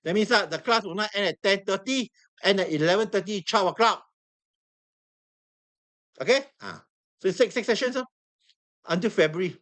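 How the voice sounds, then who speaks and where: A high-voiced man with a Singaporean English accent lecturing to a classroom of students. He sounds hoarse and worn, repeats words and keeps quizzing the room as he explains. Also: normal speed, pauses often. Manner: animated, repeats himself, asks the room questions. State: hoarse, losing his voice. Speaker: trading instructor.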